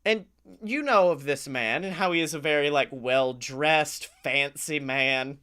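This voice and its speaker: An American man co-hosting a comedy podcast, telling stories casually in an animated, sweary way with chuckles in his speech. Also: a little high, a little slow, smooth. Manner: animated, swearing, often chuckling while talking. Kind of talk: casual comic storytelling.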